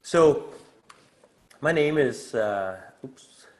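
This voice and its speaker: A middle-aged man with a Danish accent, presenting informally on a video call, relaxed and hesitant with many filler sounds. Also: mid-range voice, drawn-out words. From Denmark. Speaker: community initiator presenting on a call. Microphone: video call microphone.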